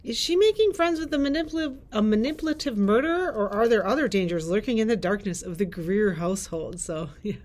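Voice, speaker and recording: A woman's voice: mid-range voice, expressive, quick pace, few pauses, darkish tone. A woman librarian co-hosting a book talk, reading prepared summaries aloud and chatting lightly. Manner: reads prepared blurbs aloud. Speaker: librarian host.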